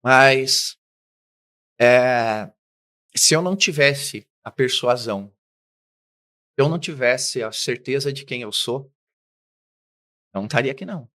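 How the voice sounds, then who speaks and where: A middle-aged man, a business guest in an interview, telling his life story with lively acted-out dialogue. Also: mid-range voice, a little slow, pauses often. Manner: animated storyteller, acts out quoted dialogue.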